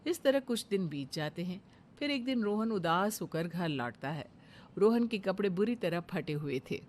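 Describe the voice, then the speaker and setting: A woman's voice: fairly low pitch, animated, normal speed, darkish tone, a little hiss or hum. Woman narrating a cartoon moral story.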